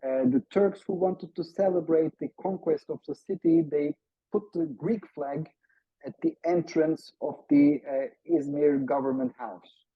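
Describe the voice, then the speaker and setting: A man's voice: fairly high pitch, lively intonation, measured pace, vocal fry, breathy edge, no room sound. A man with a Turkish accent, a native Turkish speaker, giving an earnest seminar talk over a video call, hesitant and full of filler sounds. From Turkey. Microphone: video call microphone. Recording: dull, lo-fi video call audio.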